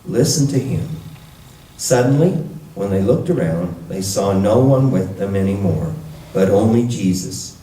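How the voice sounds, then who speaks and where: A man reading scripture to a church congregation in a measured, deliberate way, recorded with a roomy echo from a distant mic. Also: on the low side, lively intonation, mellow, slight background noise. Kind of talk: scripture reading with brief introduction.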